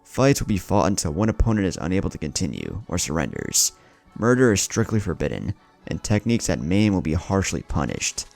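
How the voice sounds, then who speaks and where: A stern old samurai from an adventure story, voiced by a male narrator, speaks with formal command. The voice is low and gravelly, built for laying down rules and calling fighters forward. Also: very animated, a little fast, darkish tone, soft background music, exaggerated voice.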